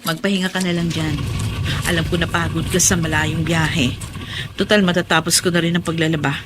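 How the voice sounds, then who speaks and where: A woman's voice: sits low, steady tone, a little fast, background noise, lightly acted. An actress playing Angie, a long-suffering mother back from working abroad, in a radio drama. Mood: kindly. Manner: pleading, turning to anguished shouting.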